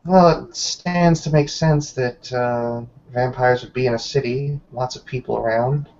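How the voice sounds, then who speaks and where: A man's voice: mid-range voice, fairly even intonation, a little slow, a little mellow, a little hiss or hum. A man in a tabletop roleplaying game, talking casually in character and narrating actions, on a dull recording.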